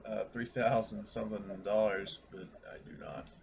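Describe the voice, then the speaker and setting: A man with a somewhat low voice talks casually as a tabletop game player. His voice chat recording carries a little noise. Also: little pitch movement, normal speed, creaky.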